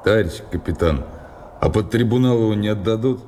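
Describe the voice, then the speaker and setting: An actor playing a plain-spoken, folksy, grumbling soldier in a radio play. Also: male voice, fairly low pitch, a little fast, darkish tone, lightly acted. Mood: uneasy. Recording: very noisy atmospheric radio scene.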